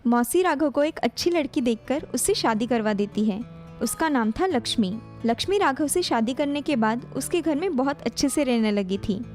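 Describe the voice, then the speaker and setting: A woman's voice: high-pitched, lively intonation, moderate pace, a little mellow, faint music behind. A woman reading scripted narration for a cartoon family story, as a storyteller.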